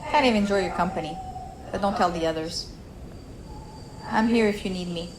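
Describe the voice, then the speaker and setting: A woman game storyteller voicing a composed, caring woman who deals in the occult, speaking gently and reassuringly. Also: fairly low pitch, normal speed, close to an everyday voice. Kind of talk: tabletop role-play acting. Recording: faint noise with cricket ambience.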